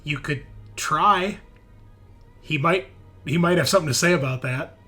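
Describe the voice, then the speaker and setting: Bright-voiced man running a tabletop game. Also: a little high, normal speed. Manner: explains rules conversationally to players. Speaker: game master of a tabletop roleplaying game. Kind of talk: improvised game narration and rulings. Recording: music playing behind the voice.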